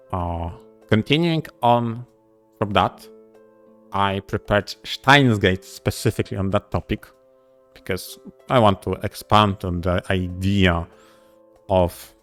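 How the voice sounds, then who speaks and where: A man co-hosting a podcast in casual discussion, speaking in a deliberate, halting way. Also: on the low side, a bit bright, faint music behind. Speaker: podcast co-host. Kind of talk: casual discussion of visual novels.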